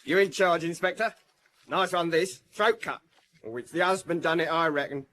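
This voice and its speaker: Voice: mid-range voice, moderate pace, fairly bright tone, slight background noise, natural voice. An actor in a radio drama playing a chirpy, gossipy street newspaper seller who calls out headlines in a sing-song cry. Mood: excited hawking.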